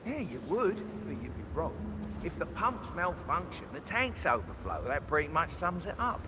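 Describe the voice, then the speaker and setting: An actor playing a blunt, harried winery boss in a video game, with an exasperated manner. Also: male voice, a little high, very animated, moderate pace. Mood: blunt, sardonic. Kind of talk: video game dramatic dialogue. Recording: clear outdoor ambience noise.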